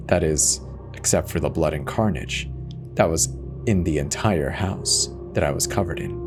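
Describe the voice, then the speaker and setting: A man reading a first-person horror story as a dramatic scripted narration. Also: low voice. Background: music.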